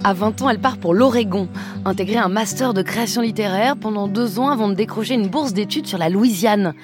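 A woman radio host reading a crisp portrait of her guest, then asking questions in a chatty way. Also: medium pitch, fairly quick, over music. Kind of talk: scripted portrait then interview.

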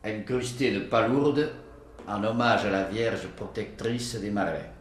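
A man playing a solemn medieval storyteller who recounts a legend slowly and ceremoniously. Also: lowish voice, a little hiss or hum, lightly acted.

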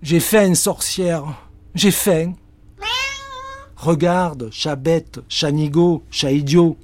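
A man's voice: on the high side, fairly even intonation, drawn-out words, slight background noise, pitched above the performer's own voice. A man doing a whiny starving cat. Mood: whining. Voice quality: whiny, complaining. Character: hungry grumbling male house cat.

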